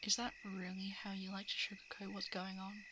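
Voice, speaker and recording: A woman plays a female shapeshifting monster in an ASMR roleplay, guarded and coolly predatory. Her pitch is average for a woman, and she slips into hushed asides. Crickets and frogs sound clearly behind her. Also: monotone, fairly quick, close to an everyday voice. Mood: dismissive, wistful. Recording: clear background noise of night insects, frogs. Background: crickets, frogs and night insect ambience.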